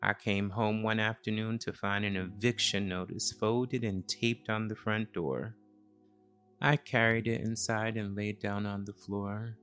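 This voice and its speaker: Slow, reflective man narrating a memoir. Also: fairly low pitch, steady tone, fairly bright tone, smooth, faint music behind. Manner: solemn, reflective, measured. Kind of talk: scripted first-person memoir narration, cinematic storytelling.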